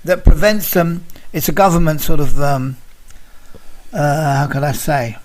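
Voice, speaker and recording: A man's voice: fairly high pitch, unhurried, darkish tone. A man of sixty, a guest in an interview, chatting casually, repeating himself and often addressing the host by name. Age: 60s. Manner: talks over others, repeats phrases, addresses host by name.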